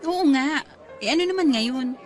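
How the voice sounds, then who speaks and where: Bantering young single woman, high-voiced. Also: lively intonation, normal speed. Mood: teasing, mock-offended. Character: Carol, serious but fun single female office worker. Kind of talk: radio drama acting, conversational Tagalog. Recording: music and crowd chatter behind.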